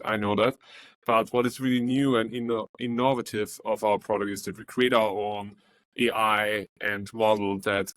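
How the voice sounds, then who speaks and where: A man's voice: on the low side, fairly bright tone, dry recording. A man from Austria with an Austrian German accent, a startup founder answering interview questions earnestly, with many ums and uhs. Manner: earnest, with frequent um and uh.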